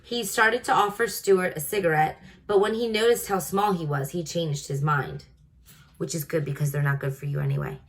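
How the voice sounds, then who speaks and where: A woman's voice: fairly low pitch, normal speed. A woman reading a children's story aloud, adding informal, chatty asides to her listeners.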